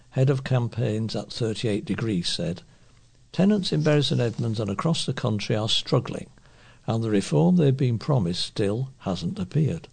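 A man reads local news from a script as a volunteer. His voice is fairly low and soft-edged in tone, with a strong gravelly creak running through it. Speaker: volunteer newspaper reader. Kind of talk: reading local news aloud.

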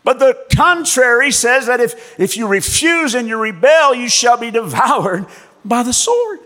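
A man preaching a sermon as pastor and missionary, telling stories with animated delivery and acting out the people in them. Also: very high voice, a little slow. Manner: animated storytelling preacher, acts out voices in quotes. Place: church. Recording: long reverb of a church hall.

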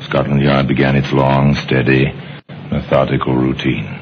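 A man narrating an old radio mystery in a deliberate, wry, dramatic style. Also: very deep voice, monotone, a little hiss or hum. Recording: muffled old radio recording. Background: music.

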